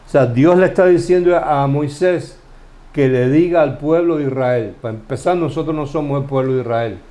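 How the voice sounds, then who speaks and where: A man from Cuba with a Cuban Spanish accent, explaining Bible passages in a relaxed study conversation, asking questions and restarting phrases as he goes. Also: on the high side, normal speed. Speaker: Bible teacher.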